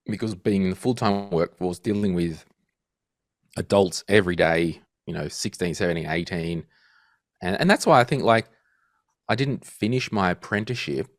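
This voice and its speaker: A man in his thirties, a guest in a casual interview, telling animated stories and acting out quotes. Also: low-pitched, normal speed, dry recording, clean studio sound. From Australia. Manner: animated storyteller, acts out quotes. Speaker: guest financial podcaster.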